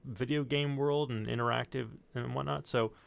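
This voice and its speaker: A man's voice: medium pitch, steady tone, moderate pace, creaky, clear, resonant tone. A man hosting an interview, putting questions in a casual, conversational way. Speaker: host, interviewer.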